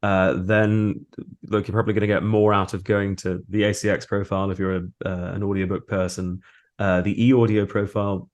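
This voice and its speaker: A man chatting casually as an interview guest in a halting, thoughtful way. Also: low voice. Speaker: interview guest, voice actor and audiobook narrator.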